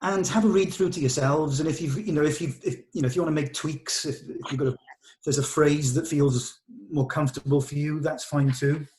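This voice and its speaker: A man's voice: on the high side, fairly even intonation, a little fast, a little mellow, a little hiss or hum. A man directing a read-through, giving actors encouraging notes in conversation and often rephrasing as he goes.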